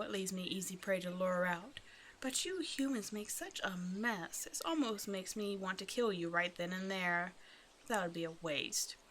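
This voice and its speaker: A woman's voice: mid-range voice, a bit bright, a little hiss or hum, natural voice. A woman voicing a hungry half-snake naga in an ASMR roleplay, teasing and condescending, with mock-sweet menace. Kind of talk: ASMR roleplay audio drama, addressing the listener.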